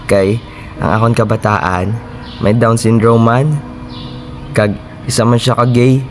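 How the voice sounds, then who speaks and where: A man's voice: medium pitch, steady tone, unhurried, faint music behind, lightly acted. A man with a Filipino accent acting a grieving, earnest father who heads a modeling agency in an amateur radio drama. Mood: sorrowful.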